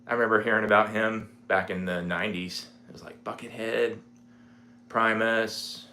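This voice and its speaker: A man in his forties chatting casually on a home livestream, rambling with lots of fillers, with a mellow voice. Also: lowish voice, moderate pace, slight background noise. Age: 40s. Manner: rambling, relaxed, many fillers. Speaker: livestreaming guitarist. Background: guitar playing.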